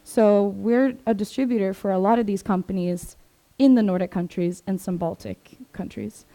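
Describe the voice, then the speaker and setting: A woman's voice: mid-range voice, fairly even intonation, moderate pace, mellow. A young woman, giving a casual, filler-heavy career talk to students in a classroom. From Sweden, raised in Maryland, USA. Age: 20s. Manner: chatty, informal, many fillers and asides. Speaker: presenter. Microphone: 360 camera in the room.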